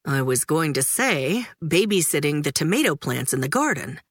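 A woman voicing a calm, matter-of-fact father. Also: deep voice, normal speed, dry recording, studio quality, voice pushed down. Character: calm middle-aged dad.

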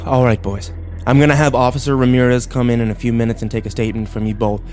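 A man's voice: mid-range voice, fairly even intonation, fast talker, a little mellow, lightly acted. A man playing a middle-aged sheriff and father in a dramatic story, stern, weary, firm and authoritative. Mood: businesslike. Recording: music behind.

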